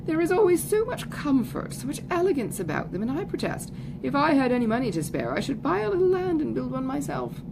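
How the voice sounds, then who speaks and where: A woman voicing a conceited, foppish young gentleman in an audiobook, with a pompous, affected, effusive manner. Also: high voice, wildly swooping pitch, fairly quick, voice pushed up, heavily acted. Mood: boastful, effusive. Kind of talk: audiobook character voice. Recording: clearly noisy recording, background ambience.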